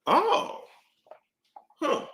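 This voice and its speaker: Man, live-stream commentator, bright lively delivery, roomy echo, emphatic repeater. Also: drawn-out words. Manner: animated, emphatic, repeats phrases for effect.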